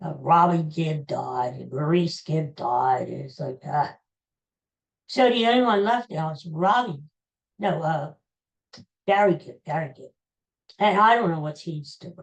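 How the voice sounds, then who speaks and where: A woman in her sixties hosting a solo podcast, rambling in a chatty way and talking straight to her listeners. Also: low-pitched. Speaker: podcast host. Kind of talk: casual solo podcast monologue.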